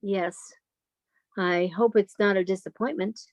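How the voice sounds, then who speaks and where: A woman's voice: mid-range voice, fairly even intonation, measured pace, dry recording, lightly acted. Woman acting earnest, defiant younger sister. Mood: tender, nervous. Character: earnest, defiant, love-struck younger sister in her thirties. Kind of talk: old-time radio melodrama acting.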